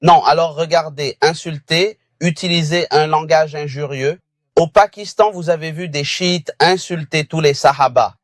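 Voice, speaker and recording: A man calls in to a debate show and keeps pressing his questions, now and then hesitating over his words. His voice sits somewhat high. The sound is dry and close. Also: steady tone, normal speed, no room sound. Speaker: caller. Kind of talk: phone-in question.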